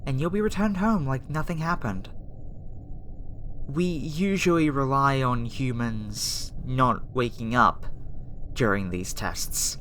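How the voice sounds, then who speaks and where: A woman's voice: extremely low pitch, a bit bright, a little hiss or hum. A woman playing an earnest, easily flustered alien girl researcher in an ASMR roleplay, speaking hesitantly and reassuringly.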